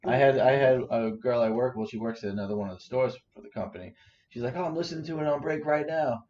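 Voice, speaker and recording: Easygoing young co-host, muffled sound. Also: male voice, mid-range voice, fast talker, a little mellow, slight background noise. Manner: easygoing, agreeable, often affirming. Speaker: podcast co-host. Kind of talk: casual chat. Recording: dull lo-fi podcast audio.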